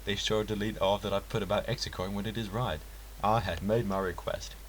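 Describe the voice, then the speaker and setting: A man's voice: fairly low pitch, fairly even intonation, fairly quick. A man reading a scripted first-person story aloud in an earnest, theatrical way. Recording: hissy, clearly noisy home recording.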